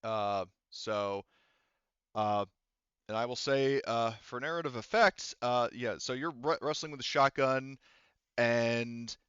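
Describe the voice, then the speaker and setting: A man's voice: mid-range voice, slow, fairly bright tone, smooth, dry recording, dull audio. A man narrating a tabletop game as game master, speaking casually and informally, with some swearing. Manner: profane, informal.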